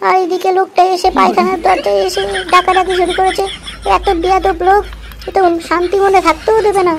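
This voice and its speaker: A woman's voice: very high voice, little pitch movement, brisk, creaky, a little hiss or hum. A woman voicing a flustered, grumbling, melodramatic village mother in a comedy cartoon, with a whiny delivery. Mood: flustered, defiant.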